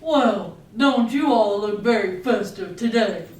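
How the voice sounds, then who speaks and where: A woman's voice: medium pitch, animated, measured pace, close to an everyday voice. A woman voicing a friendly, encouraging reindeer puppet in a children's show, recorded in an echoey room. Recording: echoey room, distant mic, faint noise.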